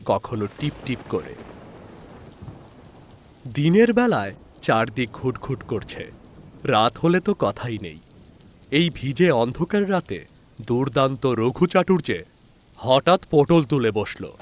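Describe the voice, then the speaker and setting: Slow, deliberate male storyteller. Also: mid-range voice, slight background noise. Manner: measured, deliberate storytelling with pauses. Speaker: story narrator and channel host. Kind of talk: dramatic scripted narration of a horror story.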